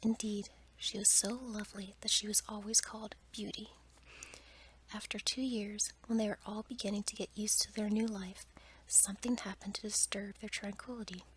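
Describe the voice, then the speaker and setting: A woman's voice: mid-range voice, level tone, normal speed, low-quality recording. Whispering woman reading a bedtime story. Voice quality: soft, breathy whisper. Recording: clear background noise, ambient sound.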